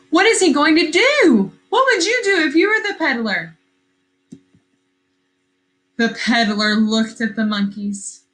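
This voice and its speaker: A woman teacher reading a story aloud to young children, expressive and questioning, repeating phrases for emphasis. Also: fairly high pitch, poor audio.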